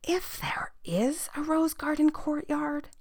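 A woman voicing a timid, rule-bound servant boy in a fairy tale, hushed and half-whispered. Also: high voice, slow, dry recording, clean studio sound, voice pushed up. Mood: nervous, secretive.

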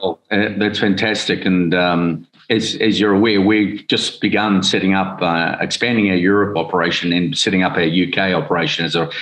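Low-voiced man hosting a business interview. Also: steady tone, a bit bright, low-quality recording. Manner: friendly, halting, many restarts. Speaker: interviewer, media host.

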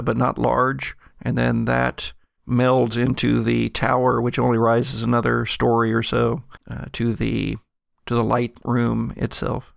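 A man narrates a tabletop role-playing game as its game master. His voice is heavily creaky and he takes his time, improvising scene descriptions in halting, deliberate phrases. The sound is close and dry. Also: medium pitch, no room sound.

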